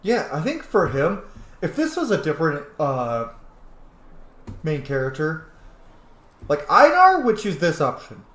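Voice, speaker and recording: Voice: mid-range voice, wildly swooping pitch, a little slow, long pauses, fairly bright tone, roomy sound. A game streamer giving casual roleplay commentary in a candid way, with some swearing. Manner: candid, profane. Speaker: gaming video host.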